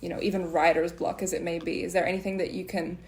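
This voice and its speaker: Young woman podcast host, slightly low pitch, supportive and appreciative, conversational delivery. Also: flat delivery, quick pace, darkish tone. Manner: encouraging, appreciative. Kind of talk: casual interview chat.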